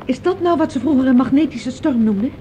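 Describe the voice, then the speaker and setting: A woman's voice: sits high, animated, fast, mellow. An actress playing an anxious young woman fleeing through space in a Dutch radio drama, worried and full of questions. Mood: curious, uneasy. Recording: dull, noisy old radio play.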